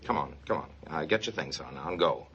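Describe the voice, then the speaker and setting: An actor playing a gruff, sardonic smuggler and truck driver in an old-time radio drama, brusque and hard-boiled. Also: male voice, a bass voice, lively intonation, fast talker, fairly bright tone, highs cut off, a little hiss or hum, exaggerated voice. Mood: impatient, accusing. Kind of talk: old-time radio drama acting with first-person narration.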